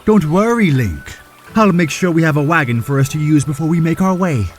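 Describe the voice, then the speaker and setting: Male actor as cheerful giant fish prince: animated, slightly high, courteous, confident fantasy audio drama voice. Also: fairly quick, faint music behind, lightly acted. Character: cheerful, gallant, towering fish-folk prince.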